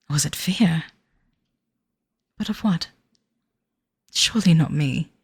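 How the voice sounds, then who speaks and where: Actress as 1930s fiancée in audio drama: lowish voice, formal, wistful diary narration, devoted yet uneasy, close dry sound. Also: female voice, darkish tone, no echo, heavily acted. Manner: formal, wistful, measured period diction. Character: young bride-to-be in 1930s, devoted but uneasy.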